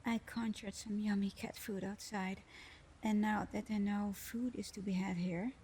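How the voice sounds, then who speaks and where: A mischievous, ancient female genie acted by a woman speaks in a soft, mellow voice at an unhurried pace. She is flirty, sly and teasing, playing directly to the listener in an ASMR roleplay. Noticeable background noise sits under the voice. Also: medium pitch, fairly even intonation, natural voice. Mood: gleeful, mischievous. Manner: teasing, seductive, slow and playful, often dropping to whispers.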